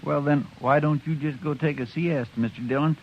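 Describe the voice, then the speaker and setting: An actor playing an easygoing, deferential cowboy deputy with a folksy, polite drawl in a 1950s radio western. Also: male voice, on the high side, brisk, close to an everyday voice. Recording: dull, noisy old radio recording.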